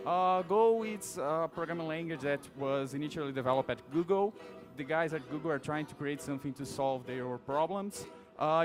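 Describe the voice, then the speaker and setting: A young man from Brazil with a Brazilian Portuguese accent, giving a relaxed conference talk on a big stage, with many fillers and restarts. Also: on the high side. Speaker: conference speaker. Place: large dark auditorium stage.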